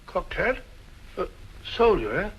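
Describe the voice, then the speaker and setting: An actor playing a puzzled, good-natured, blustery older British gentleman with a gravelly voice and a muttering, questioning manner in a drama. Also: high voice, big pitch swings, a little slow, heavily acted. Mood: curious, muttering. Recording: noisy, crackly old film soundtrack.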